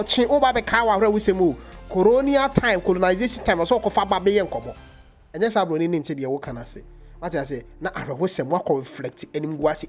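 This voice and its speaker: A Ghanaian man giving impassioned commentary in a vlog, mixing English and Twi. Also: sits high. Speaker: vlogger.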